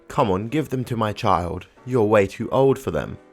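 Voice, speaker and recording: A man voicing a demanding, pushy middle-aged aunt who makes bossy demands in a fairly low voice. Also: expressive, normal speed, faint music behind.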